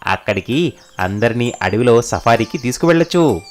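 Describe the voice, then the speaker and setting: A man plays a rich, haughty boss in a cartoon tale. He speaks in a medium-pitched voice, ordering people about with full self-assurance. Light music runs underneath. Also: lively intonation, normal speed, lightly acted. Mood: excited, commanding. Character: arrogant, callous wealthy businessman boss. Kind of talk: dubbed cartoon story dialogue.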